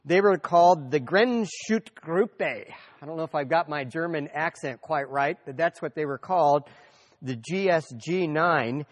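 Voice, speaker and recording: A middle-aged man with a slightly high voice lectures an audience, telling history as a story. He talks conversationally, with fillers and restarts as he goes. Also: a little slow.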